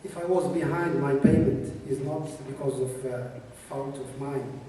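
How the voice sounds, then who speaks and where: A man's voice: mid-range voice, flat delivery, unhurried, dark tone, a little hiss or hum. A man from Morocco speaking plainly and from the heart as a resident at a city council meeting. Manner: plain, heartfelt, simple phrasing. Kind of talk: public testimony. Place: large council chamber indoors. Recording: echoey council chamber from far off.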